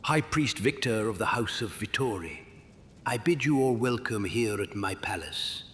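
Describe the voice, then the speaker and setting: A man's voice: expressive, normal speed, fairly bright tone. A man playing a stately medieval king, formal and declamatory, in an audio drama. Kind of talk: ceremonial announcing in audio drama. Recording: big hall reverb, faint rumble.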